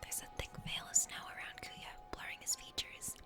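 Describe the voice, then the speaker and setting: Girl whispering asmr narration of a game story, music playing behind. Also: normal speed.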